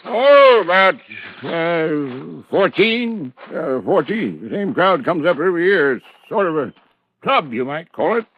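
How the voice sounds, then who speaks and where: An actor playing a chatty, obliging old lodge foreman in an American radio drama, with a rustic, halting way of talking and repeating himself. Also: a little high, sing-song, heavily acted. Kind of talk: 1950s radio drama acting. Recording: dull old radio recording.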